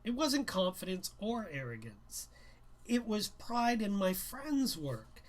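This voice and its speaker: A man's voice: high voice, sing-song, slow pace. A man doing an informal live reading of his young adult novel as its author, a little hesitant when chatting. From Canada. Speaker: author reading own book aloud.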